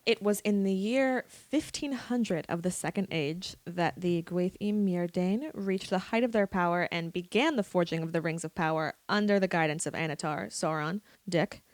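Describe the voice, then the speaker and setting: A woman's voice: on the low side, moderate pace, hardly any pauses, no room sound. Informal woman on a dry-sounding podcast. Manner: informal, irreverent. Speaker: podcast panelist. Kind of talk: casual podcast talk with scripted explanations.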